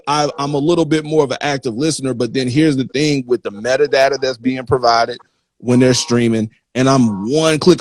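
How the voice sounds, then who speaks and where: A man hosting an interview in casual, informal talk, explaining his points with rhetorical questions. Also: mid-range voice. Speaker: interview host.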